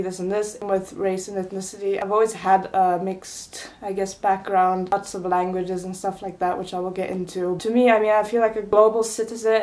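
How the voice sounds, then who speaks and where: A woman's voice: medium pitch, a little mellow. A young woman from Italy, a native Italian speaker, talking to camera in a casual personal vlog. She is earnest and opinionated and hedges often with "I mean" and "you know". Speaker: vlogger.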